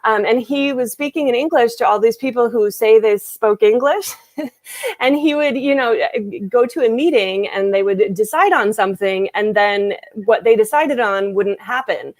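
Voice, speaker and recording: Woman on a video call, chatty and halting, lots of ums, dry close sound. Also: on the high side, no echo. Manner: hesitant, many fillers and restarts. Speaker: translator and interpreter, guest. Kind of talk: casual interview chat.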